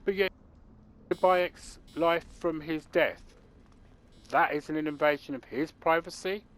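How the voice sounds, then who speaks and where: A man's voice: a little high, slow, a bit bright. A man giving casual, rambling, opinionated commentary on a video game. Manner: rambling and opinionated, many fillers. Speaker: gaming commentator.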